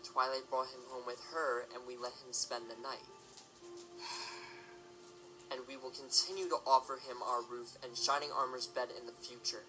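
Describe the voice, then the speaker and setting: A man playing a stern, protective pony father in an amateur audio drama, speaking in an admonishing, deliberate way. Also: medium pitch, level tone, lightly acted, poor audio. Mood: firm. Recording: faint music behind.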